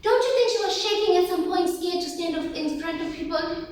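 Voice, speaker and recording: A woman in her forties from South Africa, giving a lively motivational talk to teenage girls and asking them questions as she goes. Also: extremely high pitch, a little fast, very echoey, recorded from a distance, a little hiss or hum. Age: 40s. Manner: lively, asks the audience questions often. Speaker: workshop facilitator.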